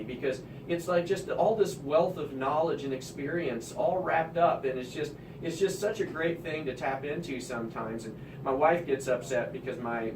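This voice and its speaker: A man's voice: mid-range voice, echoey room, distant mic, background noise. A man from Kansas City hosting a talk show and interviewing seniors, chatty and rambling with frequent restarts. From Midwest, Kansas City. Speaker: radio show host, mortgage broker. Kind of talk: casual interview chat.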